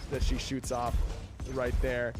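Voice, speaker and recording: A man hosting a casual video game showcase, talking in an upbeat, enthusiastic and halting way with music behind him. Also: medium pitch, completely flat delivery. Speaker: livestream host.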